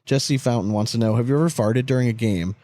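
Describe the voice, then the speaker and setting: A man's voice: mid-range voice, quick pace, dark tone, no echo. Casual, joking American man hosting a podcast. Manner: crude, joking, repeats himself for emphasis. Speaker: podcast host. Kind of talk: casual banter interview.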